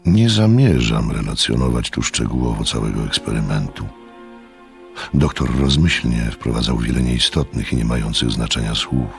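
A composed storyteller in a radio play. His voice is exceptionally deep with a gravelly creak. He narrates slowly and soberly, with faint music around the words. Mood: sober, measured. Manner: measured, sober. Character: composed gentleman narrator recalling a strange experiment.

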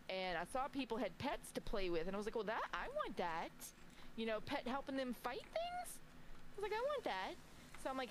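A woman in her forties chatting casually and telling stories as she games, animatedly acting out remembered dialogue. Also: mid-range voice. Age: 40s. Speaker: game streamer. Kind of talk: casual storytelling chat while gaming. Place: indoor home streaming room. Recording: clear background game noise.

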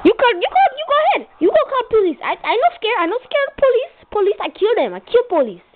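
Angry foreign caller, very shrill pitch, broken English, belligerent, repetitive, tinny noisy recording. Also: huge pitch swings, fast talker, heavily acted. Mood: defiant. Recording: tinny lo-fi handheld camera, some noise.

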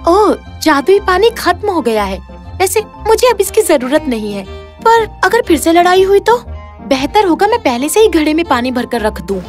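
Woman playing a high-voiced, earnest young village wife. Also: moderate pace, faint music behind. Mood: thoughtful. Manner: earnest, expressive.

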